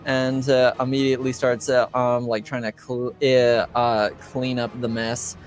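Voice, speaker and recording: A man serves as game master, improvising the scene at a slow pace. His delivery halts often, packed with filler words, and his pitch sits in a middle range. Faint music plays behind him. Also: little pitch movement. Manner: halting, hesitant delivery with very frequent filler words. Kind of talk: improvised narration of a tabletop roleplaying game.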